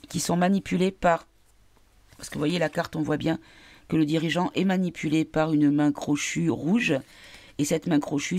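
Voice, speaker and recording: A woman giving a casual card reading, tired and congested from a cold, halting as she searches for her words. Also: on the low side, a little mellow. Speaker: card reader.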